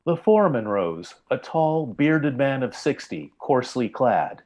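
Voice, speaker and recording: A man narrating a scripted tale in the style of an old time radio drama, with measured, grave storytelling. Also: medium pitch, very animated, darkish tone.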